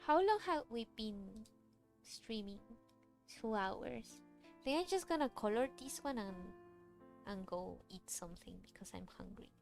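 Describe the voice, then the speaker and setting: A woman's voice: a little high, big pitch swings, unhurried, gravelly. A woman whose first language is Cantonese, chatting casually on a livestream while she draws, rambling and answering chat questions. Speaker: streamer drawing a webcomic. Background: faint music.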